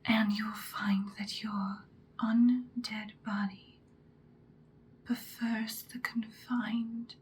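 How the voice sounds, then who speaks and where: A woman playing an ancient, powerful female vampire in an ASMR roleplay, whispering breathily in an intimate, calmly menacing, seductive way. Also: on the high side, a little hiss or hum. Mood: calm, instructive. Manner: slow, deliberate, intimate. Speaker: ASMR performer.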